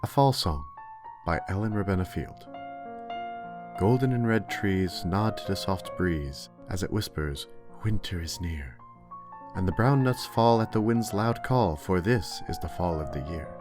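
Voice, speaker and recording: Deep-voiced man reciting verse over music. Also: animated, a little slow. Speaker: poetry reader. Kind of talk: expressive poetry recitation. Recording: music under the voice.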